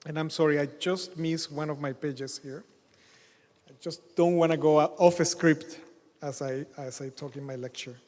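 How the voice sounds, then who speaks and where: Middle-aged man, keynote speaker, halting delivery, slightly high voice, long hall reverb. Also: steady tone, darkish tone. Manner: halting, many fillers and restarts. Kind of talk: conference keynote lecture. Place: large hotel conference hall.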